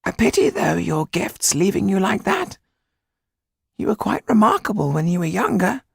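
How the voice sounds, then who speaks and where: A man voicing a small, affectionate, excitable older man in an audiobook, with a high, husky, creaky voice and an emphatic, fussing manner. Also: normal speed, no echo, studio quality, exaggerated voice. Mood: grave. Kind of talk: audiobook character acting.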